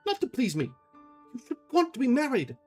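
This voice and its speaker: A reader voicing an upset young woman who scolds her friend between sobs, high and shrill. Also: huge pitch swings, brisk, soft background music, heavily acted. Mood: tearful scolding. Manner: shrill, reproachful, sobbing.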